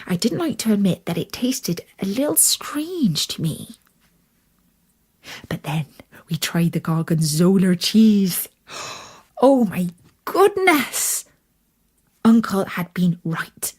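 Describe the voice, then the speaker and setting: A woman narrates a fairytale as its storyteller. Her delivery is unhurried and expressive, with careful deliberate phrasing. The voice has a breathy, slightly husky texture at a medium pitch. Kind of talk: scripted fairytale narration in character.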